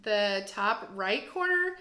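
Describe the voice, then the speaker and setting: A woman's voice: mid-range voice, very animated, takes it slowly, bright, forceful tone, roomy sound, a little hiss or hum. A woman who teaches second grade, reading and explaining things to children in an encouraging, self-deprecating way. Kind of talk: read-aloud storytelling and casual explaining for children.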